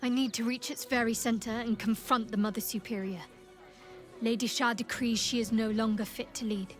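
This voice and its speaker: A woman playing a young cleric of a dark goddess in a video game, devout and guarded, with a sly, teasing, measured way of talking. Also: medium pitch, natural voice. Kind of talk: video game character dialogue.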